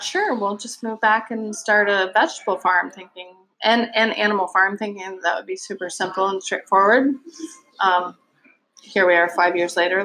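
A woman in her thirties, from Virginia, talks as a guest about her farm. She is friendly and chatty, her voice sitting at a middle pitch. She circles her points with ums and restarts. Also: fairly bright tone, low-quality recording. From Virginia, USA. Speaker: guest, vegetable farmer. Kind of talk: casual interview chat.

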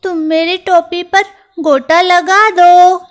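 A woman voicing a boastful, quick-tempered little male mouse in a children's story, speaking in a shrill, cocky voice and sing-song threats. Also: level tone.

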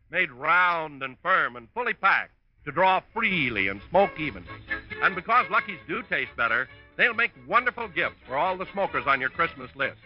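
High-pitched vintage radio announcer man. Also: no echo. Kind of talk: 1950s radio commercial announcing. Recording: dull lo-fi vintage radio recording.

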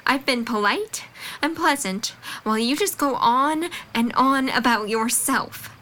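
A woman's voice: sits high, expressive, measured pace, bright, forceful tone, a little hiss or hum, exaggerated voice. A young woman playing a frank, earnest college student in an audio drama, speaking firmly and with emphasis. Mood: indignant. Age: young adult. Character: frank, earnest female college student, supportive but fed up. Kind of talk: audio drama dialogue acting.

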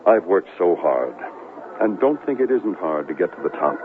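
An actor playing a smooth, boastful, flirtatious New York television reporter in a 1970s radio drama, with a suave, condescending manner. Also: male voice, deep voice, big pitch swings, normal speed, background noise. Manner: suave, self-satisfied, condescending. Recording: tinny vintage radio broadcast, music.

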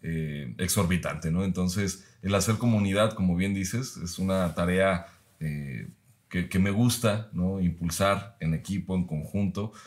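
A man, speaking as a podcast guest in a measured, reflective way with frequent pauses. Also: sits low, a little mellow. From Mexico. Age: 30s. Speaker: guest, university professor.

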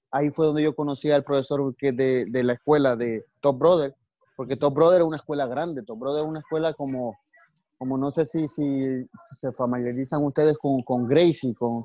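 A man's voice: mid-range voice, fairly even intonation, normal speed, mellow, no room sound. A man from El Salvador with a Salvadoran accent, a martial arts coach telling his story earnestly in an interview, often restarting his sentences. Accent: Salvadoran Spanish. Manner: earnest, many restarts and religious asides.

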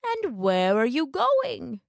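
Cunning male storybook wolf, performed by a woman: slow, insinuating, hungry, very close dry sound. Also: high voice, huge pitch swings, vocal fry, no echo, pitched above the performer's own voice, heavily acted. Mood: sly, coaxing. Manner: slow, drawn-out, insinuating speech.

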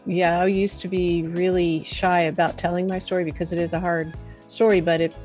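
A middle-aged woman, a podcast guest, telling her personal story in a soft, earnest voice. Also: on the low side, flat delivery, normal speed, faint music behind. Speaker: podcast guest, confidence coach.

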